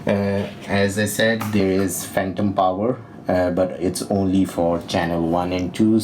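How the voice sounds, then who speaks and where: A man giving a casual, unscripted equipment tutorial as a demonstrator, speaking in a hesitant, halting way with frequent pauses. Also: low voice. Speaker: product demonstrator, video host. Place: indoor room by windows. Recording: noisy room with steady background hiss.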